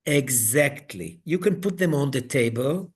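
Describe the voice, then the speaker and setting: A man leading an online coaching webinar, speaking in slow, halting phrases. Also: mid-range voice, lively intonation, breathy edge. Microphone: video call.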